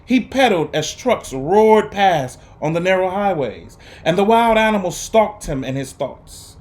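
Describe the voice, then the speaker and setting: A man reading a children's story aloud to students, with deliberate, emphatic phrasing and an earnest, encouraging manner. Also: high voice, sing-song, a little mellow. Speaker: guest reader for students.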